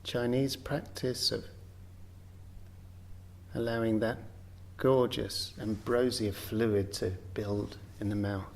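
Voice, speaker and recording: A man with a fairly low voice guides a relaxation practice. He speaks very slowly and gently, leaving generous space between short phrases as he gives unhurried meditation instruction. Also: little pitch movement, creaky, slight background noise. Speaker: yoga nidra meditation guide.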